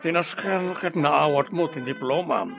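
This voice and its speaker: A man's voice: on the high side, unhurried, gravelly, dull audio, music in the background. An actor playing a kindly, encouraging middle-aged father figure in an Ilocano radio drama. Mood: fatherly, encouraging.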